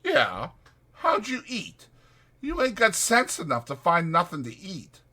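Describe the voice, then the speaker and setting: A man's voice: high-pitched, wildly swooping pitch, unhurried, bright, forceful tone, pitched above the performer's own voice, exaggerated voice. A man voicing a short-tempered, exasperated migrant ranch worker, scolding in slangy rural American working talk. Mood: bitter, exasperated.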